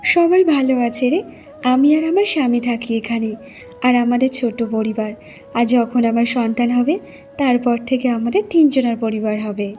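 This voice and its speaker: Lady doing a sad meek cartoon wife, plaintive, highish mellow voice. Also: moderate pace, faint music behind, lightly acted. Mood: grateful. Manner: plaintive, earnest, hurt. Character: sorrowful, meek childless young village wife. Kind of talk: Bengali moral cartoon voice acting.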